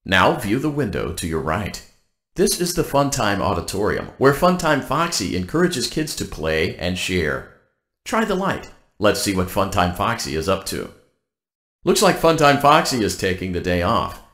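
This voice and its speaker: Echoey, low-pitched cheerful robot guide. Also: male voice, moderate pace. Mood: politely encouraging. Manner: upbeat corporate formality, deadpan about danger. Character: cheerful, blandly polite robotic guide for night-shift workers. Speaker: automated workplace guide. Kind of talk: scripted game announcement.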